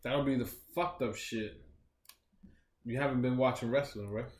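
A man's voice: medium pitch, fairly even intonation, moderate pace, roomy sound. A man co-hosting a podcast, talking in brash, slangy casual chat with lots of cursing. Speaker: podcast co-host.

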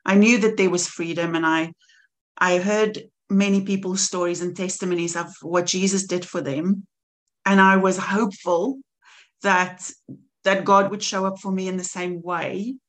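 A woman's voice: lowish voice, steady tone, moderate pace. A woman in her forties from South Africa with a South African accent, telling her faith story as a podcast guest, earnest and reflective. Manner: earnest and reflective, laughs easily. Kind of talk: personal testimony in conversation.

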